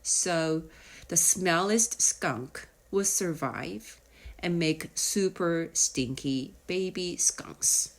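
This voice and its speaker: A woman narrating a children's nonfiction audiobook in a measured, explanatory way with deliberate pauses. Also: fairly low pitch. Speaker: audiobook reader.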